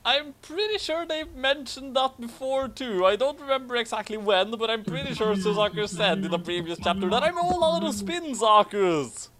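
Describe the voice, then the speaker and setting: A man's voice: very high-pitched, expressive, normal speed, few pauses, crowd noise. A man reading a story aloud with casual, amused commentary. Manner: amused, prone to laughing. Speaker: reader of a fan fiction commentary.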